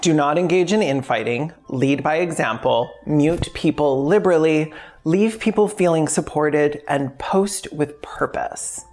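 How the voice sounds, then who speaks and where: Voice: mid-range voice, unhurried, a little mellow. A video essay host talking in a candid, self-deprecating, wryly jokey way, conversational but scripted. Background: background music.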